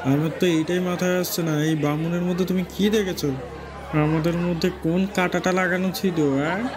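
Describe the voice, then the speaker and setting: A man voicing a mocking, crude village bully with a taunting, drawn-out delivery in a cartoon story. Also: high voice, steady tone, a crowd in the background, natural voice. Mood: jeering. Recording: clear music behind the voice.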